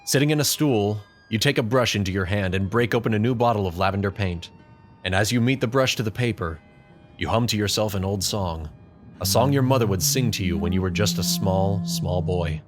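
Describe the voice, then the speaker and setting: A man's voice: on the low side, fairly quick, faint music behind. A man reading scripted second-person story narration as the narrator of a fan fiction drama.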